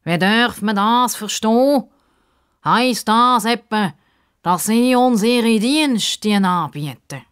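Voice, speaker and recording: A pompous crocodile gentleman from a children's story, acted out by a woman. He is fussy and very formal, drawing out his words slowly and stiffly in a raised voice. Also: on the high side, clean tone, voice pushed up. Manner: stilted, formal, drawn-out speech.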